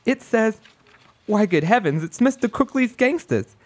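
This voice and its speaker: A flustered young secretary, acted by a woman, her voice swooping up and down as she gets excited. She sounds chirpy and hurried, like a character from an old radio comedy. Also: fairly high pitch. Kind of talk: radio play acting.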